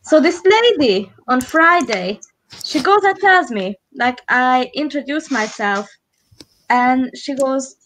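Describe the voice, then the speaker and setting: A woman's voice: high-pitched, animated, slow pace, fairly bright tone, poor audio. A woman with a Hungarian accent, a live stream co-host chatting casually while doing makeup, rambling with frequent restarts.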